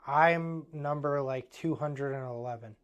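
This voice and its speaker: A man's voice: fairly high pitch, measured pace. A man in his thirties with an Eastern Pennsylvania accent who owns a plumbing business, talking bluntly and motivationally with lots of fillers. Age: 30s. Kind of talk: casual business talk.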